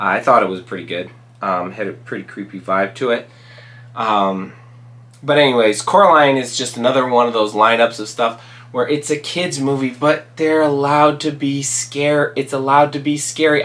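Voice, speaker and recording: A man with a cold-roughened, raspy voice chats casually as host of his own channel. He rambles, restarts his sentences and fills pauses with ums as he walks through his favorite movies. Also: medium pitch, fairly bright tone. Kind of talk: casual solo commentary.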